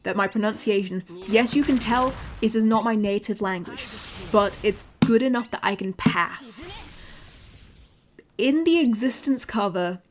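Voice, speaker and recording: A young woman with an English accent, chatting casually on a livestream, often repeating words and filling pauses. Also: fairly high pitch, a little hiss or hum. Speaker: VTuber streamer.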